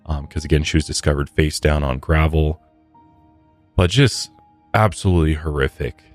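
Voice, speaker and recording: Very deep-voiced male true crime podcast host. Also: normal speed, faint music behind. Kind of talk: conversational true crime storytelling.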